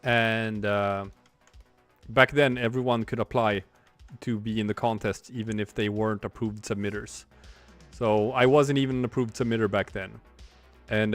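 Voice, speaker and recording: A man in his forties, a streamer and comic artist, talking in a rambling, reflective way while he draws, with many restarts. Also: on the low side. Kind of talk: casual livestream chat while drawing.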